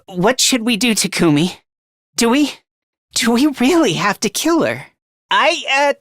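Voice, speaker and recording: A man's voice: a bit bright, dry recording, studio quality, full character voice. A man playing an anxious, self-deprecating, frail teenage boy in a video game, with a boyish, hesitant and emotionally volatile delivery. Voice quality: high, boyish, androgynous. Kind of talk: video game voice acting, dramatic.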